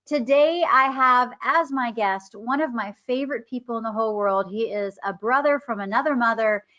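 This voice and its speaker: A woman in her fifties hosts with bubbly, upbeat chatter in a fairly high voice. The sound is dull and lo-fi, like a video call. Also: moderate pace, sharp tone. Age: 50s. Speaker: host. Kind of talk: casual interview chat.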